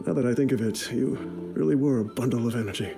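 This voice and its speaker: A man's voice: mid-range voice, gravelly, natural voice. Guy acting an old mercenary dad, rambly, cocky but loving, game voiceover with music behind. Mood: boastful, nostalgic. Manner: rambling, chuckling, jovial. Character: ailing old mercenary father, boastful, roguish, affectionate. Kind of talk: letter voice-over in a video game.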